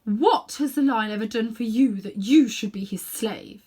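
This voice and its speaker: Woman voicing a loud, imperious storybook witch. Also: on the high side, normal speed, husky. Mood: scornful accusing. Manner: imperious, insistent persuasion. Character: fierce, scornful witch, coaxing and tempting. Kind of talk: children's storybook character voice.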